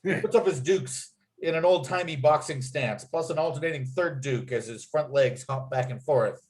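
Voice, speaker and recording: A man's voice: fairly high pitch, normal speed. A man reading script stage directions aloud as narrator, with clipped, dramatic scene headings. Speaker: narrator and writer.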